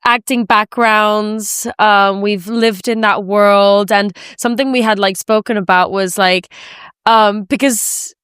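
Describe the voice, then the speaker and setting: A woman podcast host from Spain with a smooth, clear voice and a mid-range pitch. She talks informally and chattily in a conversational interview style, sprinkling in "like". The sound is close and dry. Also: steady tone, takes it slowly, a bit bright, dry recording.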